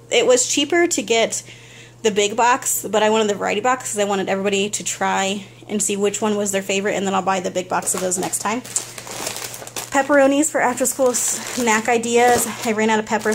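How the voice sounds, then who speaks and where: A woman's voice: on the high side, slight background noise. A young woman in her kitchen, chatting casually as she goes through a grocery haul item by item, recorded close on a handheld phone. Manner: chatty, listing items one after another. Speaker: vlogger. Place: home kitchen, indoors.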